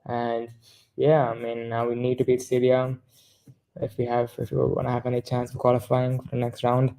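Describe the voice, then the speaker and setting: A man's voice: medium pitch, completely flat delivery, normal speed, creaky, warm tone, poor audio. A man co-hosting a casual football discussion, rambling and often correcting himself mid-sentence. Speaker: football podcast co-host.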